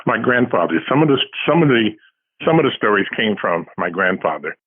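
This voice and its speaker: A man's voice: lowish voice, sing-song, a little fast, husky. A man answering as an interviewed author, telling casual anecdotes with dry, self-deprecating humour. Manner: self-deprecating, dryly humorous. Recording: tinny phone-like line.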